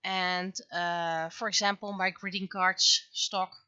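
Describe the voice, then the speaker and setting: A woman chatting casually on a livestream as an artist at work, speaking haltingly as she thinks aloud. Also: on the low side, little pitch movement, sharp tone, clean tone, no room sound, lo-fi sound.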